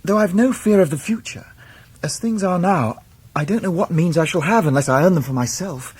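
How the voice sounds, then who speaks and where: An actor playing an earnest, polite, lovestruck young English gentleman in a period radio drama. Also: sits high, lively intonation, fairly quick, breathy edge. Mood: polite, anxious.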